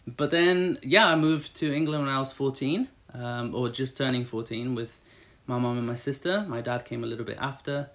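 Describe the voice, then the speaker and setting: A man's voice: mid-range voice, normal speed, hardly any pauses, fairly bright tone. A man in his thirties who grew up in Brazil, rambling chattily through interview answers about his church job, with many fillers and restarts. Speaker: church staff member.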